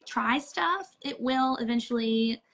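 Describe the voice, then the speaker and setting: A woman in her thirties as a guest in a casual chat, her voice hoarse and cracking, easygoing. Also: on the high side, slow pace, a bit bright.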